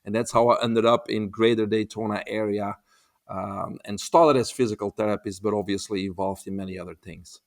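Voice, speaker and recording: A man in his fifties from Belgium with a Belgian accent, a holistic health expert telling his story as a guest on a remote interview in a relaxed, wry way. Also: fairly low pitch, brisk. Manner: relaxed, wry storyteller who acts out quoted dialogue. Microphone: remote, video call.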